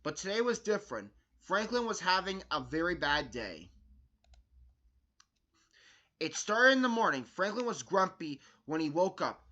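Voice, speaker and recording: A man with a high-pitched, very bright and forceful voice reads a children's storybook aloud. His delivery is expressive and emphatic, like a host presenting a read-along. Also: moderate pace, dull audio.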